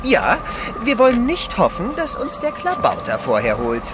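Long-suffering French valet: high voice, extremely animated pitch, courteous sarcasm, radio drama. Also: male voice, normal speed, noisy background, full character voice. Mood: sarcastic. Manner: polite, drily sarcastic, exasperated. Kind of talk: audio drama acting. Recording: clear outdoor ship ambience behind.

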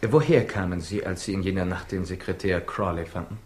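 An actor playing a persistent newspaper reporter in a 1960s radio crime drama, polite but pressing. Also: male voice, low-pitched, steady tone, fairly quick, slightly rough. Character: persistent, probing English newspaper reporter, male. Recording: noisy old 1960s radio recording.

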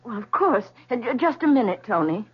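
Husky, guarded society widow. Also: female voice, a little high, sing-song, brisk. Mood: flustered. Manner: guarded, polite. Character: composed, evasive middle-aged wealthy widow. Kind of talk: 1970s radio drama acting. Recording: muffled vintage radio broadcast.

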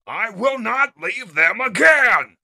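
A man voicing a heroic, wrathful robot commander in slow, dramatic declarations for a comic dub. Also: a little high, expressive, bright, forceful tone, husky, no room sound, pitched above the performer's own voice, full character voice. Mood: resolute.

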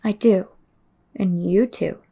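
A woman playing a cryptic, grave fortune teller in an audio roleplay, speaking breathily in a measured, ominous way. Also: mid-range voice, fairly even intonation, dark tone, slightly rough. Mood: grave, knowing.

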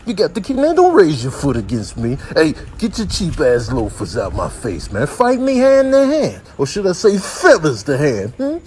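Man voicing a cocky, animated American turkey. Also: a little high, moderate pace, few pauses, noisy background. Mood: indignant, challenging. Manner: indignant, slangy, confrontational. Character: cocky, combative male turkey picking a fight.

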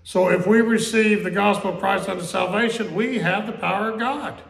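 A pastor preaching a conversational sermon in a church, asking questions, repeating them and quoting scripture slowly. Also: male voice, high voice, creaky, a little hiss or hum. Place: church sanctuary. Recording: echoey church room.

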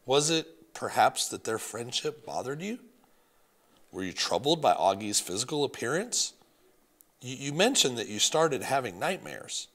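Kind male principal, gentle, measured, wide-ranging delivery, read by a male narrator. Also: medium pitch. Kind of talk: audiobook reading of a letter.

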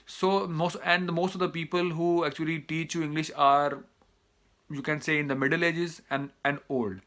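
Bright-voiced Pakistani man, halting advice. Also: on the high side, flat delivery. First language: Urdu. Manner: hesitant, many "uh" fillers and restarts. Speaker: vlogger giving advice to students. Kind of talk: casual advice monologue to camera. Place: small indoor room, plain wall.

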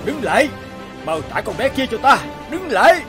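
A man voicing a menacing, lecherous sea god in an animated fairy tale, gloating and commanding. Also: extremely high pitch, normal speed, faint music behind, exaggerated voice. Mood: furious, commanding. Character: menacing, lecherous male sea god holding a girl captive.

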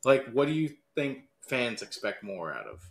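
Medium-pitched man hosting casual chat. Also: very animated, normal speed, roomy sound. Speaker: podcast debate host. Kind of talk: casual chat, posing questions.

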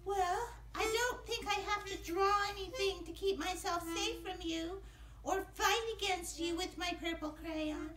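Innocent, curious puppet boy, piercingly high and bright, for a kids' show. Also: unhurried, echoey room, faint music behind, heavily acted. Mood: earnest. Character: wide-eyed little cartoon boy puppet, innocent, curious.

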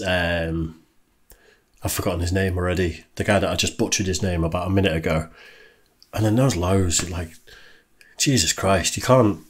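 A man's voice: low voice, husky. A man in his thirties hosting a reaction video, chatty and self-deprecating, recorded in a small indoor room with headphones on. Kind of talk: casual reaction commentary. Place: small indoor room lit with purple lights.

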